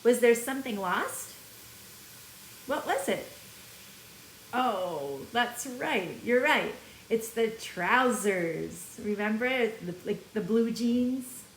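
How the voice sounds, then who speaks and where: A woman's voice: on the high side, lively intonation, slow pace, slight background noise. A woman teacher giving a read-aloud lesson, talking to young children and putting questions to them. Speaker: elementary school teacher. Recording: echoey room.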